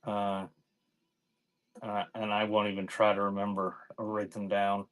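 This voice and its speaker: A man's voice: lowish voice, completely flat delivery, measured pace, fairly bright tone. A man hosting a live online sale, chatting informally and thinking aloud, often trailing off mid-sentence. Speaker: live online auction host selling cassette tapes. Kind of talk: casual rambling livestream chat.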